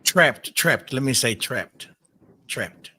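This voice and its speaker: A man hosting a spiritual call-in show, greeting callers as brother and answering in a searching, halting way. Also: medium pitch, lively intonation, husky. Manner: warm address, calls people brother and mother. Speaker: host.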